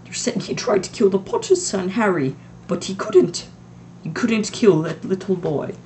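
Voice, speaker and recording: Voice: mid-range voice, very animated, moderate pace, a little mellow, slight background noise, voice pushed up. A reader voicing a strict older woman professor who speaks in a clipped, impatient way. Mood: shaken. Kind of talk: audiobook reading.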